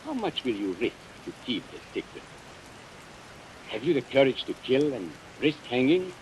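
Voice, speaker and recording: A man's voice: mid-range voice, big pitch swings, lightly acted, low-quality recording. A man playing a suave, sardonic radio blackmailer. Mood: coolly taunting. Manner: mocking, smoothly formal, deliberate. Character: suave, sardonic, cold-blooded male blackmailer. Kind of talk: 1940s radio acting. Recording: hissy old radio recording, rain sounds.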